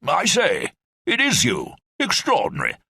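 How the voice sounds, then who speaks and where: A jovial, rambling old brigadier barks out clipped, upper-class phrases in a high, lively voice, as a man puts him on. The recording is dry and close. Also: moderate pace, vocal fry, breathy edge, dry recording, full character voice. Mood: surprised. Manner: clipped, blustering, upper-class officer speech. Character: bumbling elderly male British brigadier, jovial, rambling.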